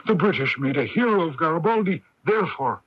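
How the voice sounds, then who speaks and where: A high-pitched, declamatory literary gentleman. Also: animated. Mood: argumentative. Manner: declamatory, deliberate, rhetorical. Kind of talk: 1980s radio drama acting. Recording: dull lo-fi 1980s AM radio recording.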